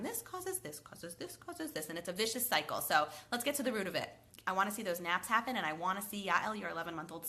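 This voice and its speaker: A woman in her thirties with a bright voice and a slightly stuffy, cold-struck sound. She talks in a chatty, upbeat way, calling out viewers by name as she answers parents' questions live. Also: on the low side. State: congested from a cold, sniffling. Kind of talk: live Q&A, reading comments aloud.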